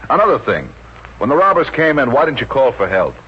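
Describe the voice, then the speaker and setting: A man's voice: medium pitch, big pitch swings, brisk, slightly rough. An American man playing a dry, businesslike police sergeant in a 1950s radio comedy. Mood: skeptical. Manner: dry, matter-of-fact. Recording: dull, hissy old 1950s radio recording.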